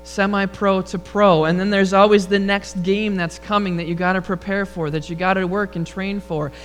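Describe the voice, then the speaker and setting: A man with a high, rather feminine-sounding voice, preaching a relaxed, humorous sermon and leading prayer before a congregation. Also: normal speed, faint music behind. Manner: relaxed, storytelling delivery with humor. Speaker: pastor. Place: church sanctuary before a congregation.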